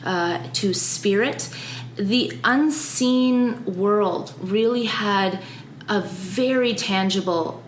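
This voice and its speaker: A woman's voice: medium pitch, extremely slow, echoey room, a little hiss or hum, poor audio. A woman who grew up in Austria and Canada, speaking as a guest in an earnest, reflective interview. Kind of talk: conversational interview. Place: office.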